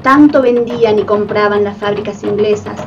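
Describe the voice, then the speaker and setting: A woman's voice: medium pitch, fairly even intonation, normal speed, gravelly, distant mic, muffled recording. A woman reading scripted narration for a radio play. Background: music.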